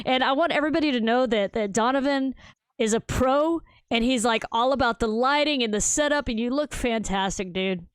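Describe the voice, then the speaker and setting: A bubbly woman host with a higher, smooth voice chats excitedly with a guest over a video call. She laughs easily and drops "dude" and "like" into her questions. The sound is close and dry, with no room around it. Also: fairly quick, dry recording. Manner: bubbly, laughs often, says "dude" and "like" a lot. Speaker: show host. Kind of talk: casual video-call interview.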